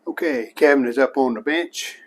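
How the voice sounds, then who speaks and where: A man's voice: medium pitch, brisk. A man casually narrating his own hands-on restoration work as a hobbyist host, in a plain-spoken, show-and-tell way.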